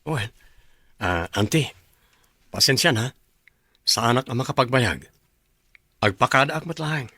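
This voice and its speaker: A courteous young suitor in a radio drama, with a crisp forward voice at middle pitch. He has a Filipino accent and sounds apologetic, in a very dry recording. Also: expressive, a little slow, pauses often, bright, forceful tone, no room sound, heavily acted. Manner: polite. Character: polite young boyfriend visiting, apologetic and hurried. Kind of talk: Ilocano radio drama acting.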